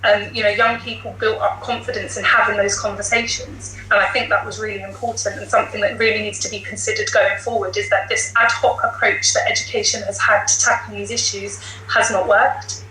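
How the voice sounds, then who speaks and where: A woman's voice: mid-range voice, level tone, a little fast, few pauses, sharp tone. A woman with a British accent, a teacher and podcast guest, explaining her views in an articulate, emphatic way. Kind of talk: considered discussion. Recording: roomy echo, light background noise.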